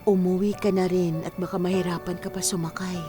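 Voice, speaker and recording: A woman's voice: fairly low pitch, little pitch movement, brisk, close to an everyday voice. A woman acting an anxious, ailing mother in her forties in a Filipino radio drama, hesitant and stammering when worried. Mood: weary, homely. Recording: background music under the voice.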